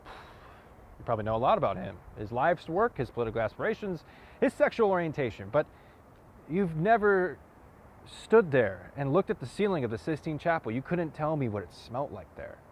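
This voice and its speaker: A man performing a dramatic monologue as a wounded, earnest man confronting someone, halting and repeating words for emphasis. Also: fairly low pitch, a little mellow, lightly acted. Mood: forceful, confronting. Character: wounded, earnest man confronting a cocky youth, grieving lover. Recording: dull lo-fi audio, clear outdoor noise.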